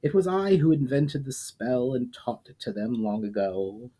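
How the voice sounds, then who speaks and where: A man's voice: medium pitch, big pitch swings, unhurried, mellow, slight background noise, pitched above the performer's own voice. A man reading a story aloud, voicing an ancient, scornful immortal demon who lectures coldly and derisively.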